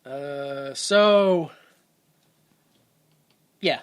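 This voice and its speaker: A man's voice: on the high side, drawn-out words, clean tone. A young man hosting a podcast, rambling casually and laughing at his own jokes. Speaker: podcast host.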